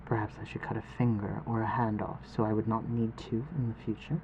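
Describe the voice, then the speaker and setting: A man playing a refined, protective vampire lord in an intimate audio roleplay, speaking formally and with measured command. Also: on the low side, little pitch movement, muffled recording, background noise, natural voice. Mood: cold menace. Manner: formal, measured, archaic phrasing, dropping to breathy murmurs.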